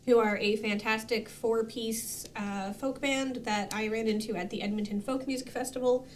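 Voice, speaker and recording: A woman with a fairly high voice chats casually in a group. Light background noise sits behind her. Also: steady tone, moderate pace. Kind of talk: casual chat.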